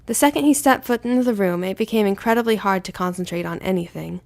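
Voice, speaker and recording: Woman narrating a story, mid-pitched. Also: fast, vocal fry, a little mellow. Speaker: amateur audiobook narrator.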